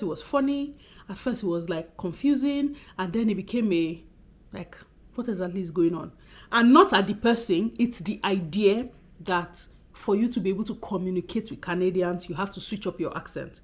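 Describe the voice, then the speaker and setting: Expressive Nigerian woman vlogger. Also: medium pitch. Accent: Nigerian, Benin City undertone. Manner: expressive, talks fast. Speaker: YouTuber. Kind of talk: casual talking-to-camera vlog.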